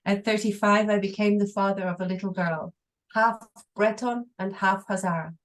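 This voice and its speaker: A woman reading an English translation aloud, steady and careful, with brief pauses. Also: medium pitch, poor audio. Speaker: interpreter.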